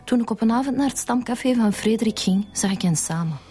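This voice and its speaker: A high-pitched young Flemish woman played by an actress, bitter and vengeful in a radio drama. Music plays clearly behind her. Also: animated, quick pace, warm tone, natural voice. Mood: cold, resentful.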